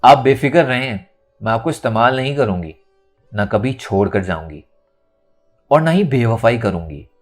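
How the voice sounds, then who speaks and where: A man narrator plays a determined young woman in an audio novel. She is bold and insistent, with animated, swinging pitch. Faint music sits underneath. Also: moderate pace, long pauses, close to an everyday voice. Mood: assured.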